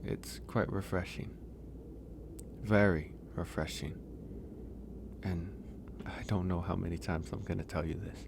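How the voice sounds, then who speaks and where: A man acting a gentle, flirtatious, lonely werewolf in a soft-spoken ASMR romance roleplay. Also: deep voice, fairly even intonation, gravelly, background noise. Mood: grateful, caring. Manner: soft-spoken, unhurried, reassuring.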